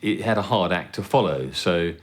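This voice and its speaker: A man commentating in a measured, analytical way for a documentary. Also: low voice, lively intonation.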